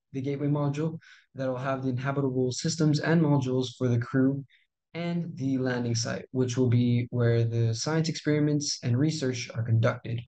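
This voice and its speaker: A man's voice: mid-range voice, warm tone, low-quality recording. A teenage boy from Texas reading a formal school presentation over a video call. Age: teens. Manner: formal, reads from a script. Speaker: student presenter.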